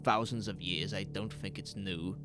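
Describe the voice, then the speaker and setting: A man's voice: low voice, steady tone, normal speed, natural voice. A man playing a frightened, agitated survivor in a horror audio drama, speaking with urgent emphasis. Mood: grave, conspiratorial. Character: frightened, agitated man who escaped a takeover. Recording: music behind the voice.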